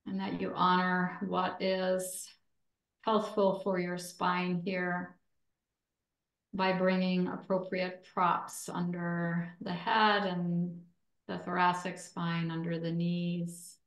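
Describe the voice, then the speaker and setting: A woman with a slightly low voice guides a relaxation practice at a very unhurried pace. She speaks gently and deliberately, leaving long pauses between phrases. The room adds a noticeable echo around her words. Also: flat delivery. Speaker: yoga nidra instructor.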